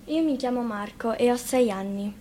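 A woman's voice: on the high side, moderate pace, dark tone, a little hiss or hum, close to an everyday voice. A woman voicing a confident, bossy six-year-old boy in a fairy tale, speaking firmly and matter-of-factly. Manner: matter-of-fact, firm.

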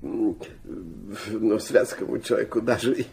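An actor playing a sentimental, flighty old Russian prince in a radio play, rambling and hesitant, stammering and dropping in French phrases. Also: male voice, on the low side, slow pace, vocal fry, darkish tone. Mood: flustered, evasive. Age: older.

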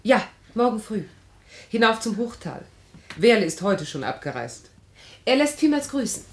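A woman's voice: on the high side, sing-song, normal speed, echoey room, slight background noise. An actress playing a frank, composed, practical woman in a radio play, matter-of-fact and articulate. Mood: polite, brisk. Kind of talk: classic German radio play acting.

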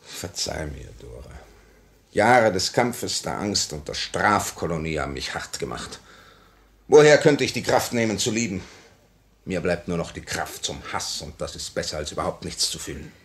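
Deep-voiced, bitter hardened revolutionary. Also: male voice, very animated, moderate pace. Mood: weary remorse. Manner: fierce, declamatory, intense. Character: bitter hardened revolutionary ex-convict, full of hatred. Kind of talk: 1950s German radio drama acting.

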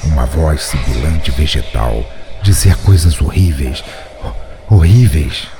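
A man's voice: very deep voice, takes it slowly, music in the background. A man reading a first-person horror story aloud in a dramatic, tense storytelling manner. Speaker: narrator.

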